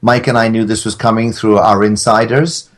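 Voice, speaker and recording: A man with a fairly low voice. He talks in casual comic banter, wordy and mock-grandiose, as a guest on a podcast. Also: little pitch movement, moderate pace. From England. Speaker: podcast guest, audio drama maker.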